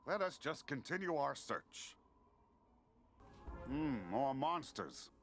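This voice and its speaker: An actor voicing a naive, philosophical newly-sentient video game being with quaint, formal, wondering phrasing. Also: male voice, mid-range voice, animated, takes it slowly, fairly bright tone, faint music behind. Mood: puzzled, musing. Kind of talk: video game character acting.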